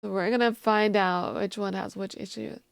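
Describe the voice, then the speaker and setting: A woman's voice: mid-range voice, fairly even intonation, moderate pace, gravelly, no echo, clean studio sound. A woman streaming live who says she just woke up and has a sleepy voice, chatting casually and thanking her viewers.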